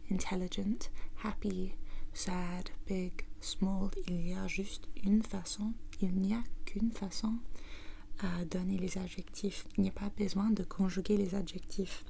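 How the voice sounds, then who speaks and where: Slow, whispering woman with English accent. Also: medium pitch, gravelly, slight background noise. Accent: English-speaking. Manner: mostly whispering, gentle step-by-step explaining. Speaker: language teacher in an ASMR video. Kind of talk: whispered ASMR lesson.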